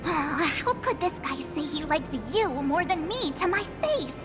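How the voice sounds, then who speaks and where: A woman's voice: high voice, lively intonation, measured pace, over music. A woman voicing a perky, cute teenage girl adventurer in an anime-style video game, casual and a little huffy. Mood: huffy, pouting.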